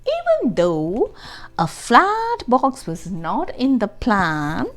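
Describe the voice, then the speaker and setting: A woman reading a children's storybook aloud as host, expressively and deliberately, adding explanations and questions for young listeners. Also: fairly high pitch, wildly swooping pitch, slight background noise.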